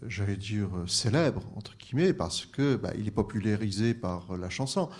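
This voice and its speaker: Male teacher, panel guest, lower pitch, hesitant with many restarts. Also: lively intonation, moderate pace, slightly rough. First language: bilingual French-Arabic. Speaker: French teacher. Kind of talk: panel discussion.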